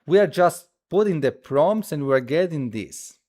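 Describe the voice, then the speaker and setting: A man who speaks as a hosts a casual live coding stream, thinking aloud haltingly and repeating his phrases as he demonstrates. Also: on the high side, animated, darkish tone. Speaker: livestream host.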